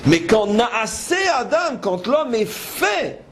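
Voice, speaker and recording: A man's voice: sits high, big pitch swings, takes it slowly, fairly bright tone. A man giving a religious lecture as a rabbi, teaching in an emphatic, didactic way with rhetorical questions. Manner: switches between French and quoted Hebrew verses. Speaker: rabbi teaching a Torah class. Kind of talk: religious lecture with commentary on scripture. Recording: noisy live lecture recording.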